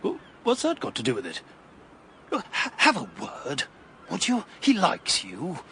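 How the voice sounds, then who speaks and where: A blustering upper-class English busybody in a radio play. His high voice leaps dramatically up and down as he frets and pontificates. Faint ambience sits behind it. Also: huge pitch swings, measured pace, a bit bright, a little hiss or hum, full character voice. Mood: alarmed. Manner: blustering, emphatic. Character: fussy, blustering upper-class political campaigner, easily alarmed.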